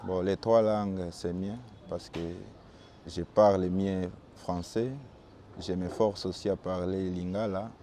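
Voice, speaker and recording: A young man from Bukavu with a Congolese French accent, Swahili his first language, calmly answering interview questions as a football goalkeeper. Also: sits low, background noise. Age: young adult. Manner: calm and measured, with small restarts.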